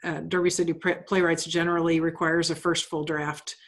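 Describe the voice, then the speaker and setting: A woman's voice: low voice, level tone, brisk. A woman playwright explaining her project informally, often rephrasing as she asks for feedback.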